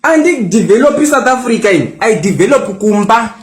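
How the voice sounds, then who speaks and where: A man's voice: high voice, lively intonation, a little fast, reverberant, a little hiss or hum. A Zimbabwean man in his twenties, boastful and mocking as he rants back at a critic and slips English into his talk. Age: 20s. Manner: mixes English into local-language talk. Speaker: social media personality. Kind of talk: angry response rant.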